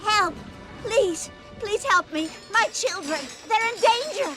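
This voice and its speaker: A woman's voice: very high voice, expressive, slow, bright, forceful tone, soft background music, exaggerated voice. An actress playing a frantic alien mother pleading desperately for help.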